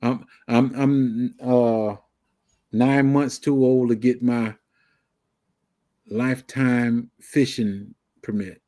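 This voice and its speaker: An older man, in a voice of middling pitch. He talks good-naturedly on a livestream, stammering and starting his sentences over. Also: fairly even intonation, very slow, warm tone. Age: 60s. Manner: stammering restarts, Southern vernacular, good-natured. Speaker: livestream co-host. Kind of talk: casual livestream chat.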